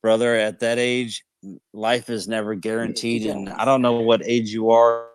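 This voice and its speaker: A man's voice: mid-range voice, level tone, moderate pace. A man in his late thirties arguing casually on a group call, calling others bro and man. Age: 30s. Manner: casual, calls people bro and man, defensive. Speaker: call participant. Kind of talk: heated debate on a group call.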